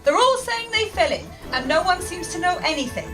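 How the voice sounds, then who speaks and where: Policewoman acted by a woman for radio drama, high voice, reporting, music behind. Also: sing-song, brisk, bright, forceful tone, heavily acted. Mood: matter-of-fact reporting. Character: female police officer reporting on suspects.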